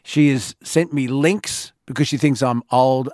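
A middle-aged man hosting a talk radio show, gruff and teasing. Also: medium pitch, fairly even intonation, unhurried, a little mellow, dry recording, studio quality. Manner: gruff, teasing, self-mocking. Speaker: radio host.

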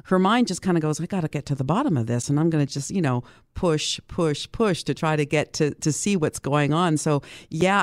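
A woman's voice: fairly low pitch, darkish tone, no room sound. Woman chatting about books, dry close sound. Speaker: book club participant. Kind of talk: casual book discussion.

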